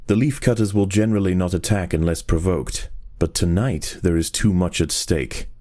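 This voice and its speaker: A man playing a solemn nature documentary narrator in a video game, measured, grave and formal. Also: low-pitched, darkish tone, lightly acted.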